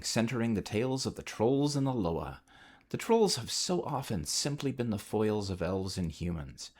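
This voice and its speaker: A man delivering a measured, articulate scripted report as a podcast contributor. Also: on the low side.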